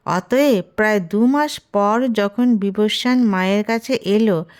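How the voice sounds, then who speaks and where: A woman's voice: medium pitch, slow pace, darkish tone. A woman reading a scripted romantic story aloud as a storyteller. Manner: reads character dialogue within the narration.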